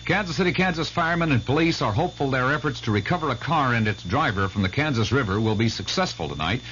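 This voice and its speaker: A man's voice: mid-range voice, expressive, fast talker, fairly bright tone. A man with an American accent reading a scripted local news bulletin as a radio newscaster. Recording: muffled 1970s radio, faint hum.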